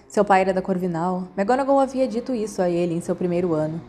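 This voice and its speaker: A woman's voice: lowish voice, fast, dark tone. A woman with a Brazilian accent reading a scripted story as narrator. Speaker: podfic narrator.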